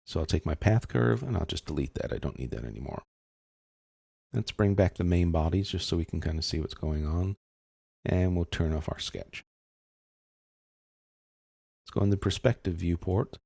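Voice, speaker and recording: A man narrating a software tutorial step by step, explaining in a casual, informal manner. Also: deep voice, animated, moderate pace, vocal fry, long pauses, breathy edge, dry recording, clean studio sound.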